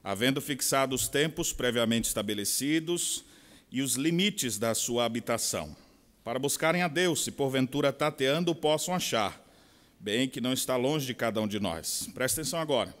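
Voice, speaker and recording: A middle-aged man with a Bahian Portuguese accent, preaching a sermon with expressive swings from quiet asides to loud, slow emphasis. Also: medium pitch. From Brazil. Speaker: pastor. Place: large conference hall. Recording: long hall reverb.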